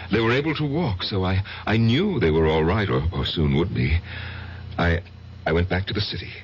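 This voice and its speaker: An actor in an old-time radio drama playing a haunted, guilt-ridden man, confessing intensely with halting dramatic pauses. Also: low-pitched, a little hiss or hum. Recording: muffled vintage radio recording.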